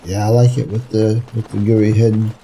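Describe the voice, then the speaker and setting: A man's voice: fairly low pitch, little pitch movement, moderate pace, warm tone, a little hiss or hum, poor audio. A man giving casual gameplay commentary, laid-back, muttering short asides as he plays.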